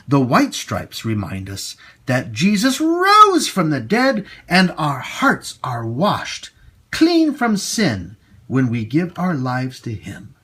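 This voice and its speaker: A man hosting storytime for children, reading and talking to them in an expressive, emphatic way. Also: medium pitch, husky. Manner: animated, slow, emphatic delivery for young children. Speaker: storytime host and children's teacher.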